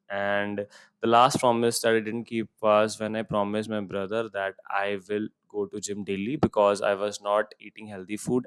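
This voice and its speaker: Male IELTS coach, Punjabi native, lowish voice, reads out questions then gives plain model answers. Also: smooth. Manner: reads each question aloud, then answers in simple, steady sentences. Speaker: IELTS speaking tutor. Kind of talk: exam-prep lesson with model answers.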